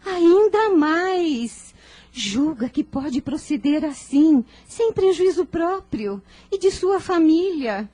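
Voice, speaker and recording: Woman acting as aggrieved mother, very high pitch, unhurried, formal and emotional, religious radio drama. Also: animated, mellow, full character voice. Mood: concerned. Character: protective, aggrieved mother of a teenage son.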